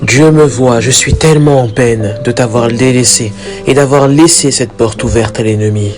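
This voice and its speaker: A man's voice: medium pitch, moderate pace, natural voice. Man acting earnest devout father over music. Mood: remorseful. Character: devout, protective, remorseful father of a grown daughter. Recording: background music under the voice.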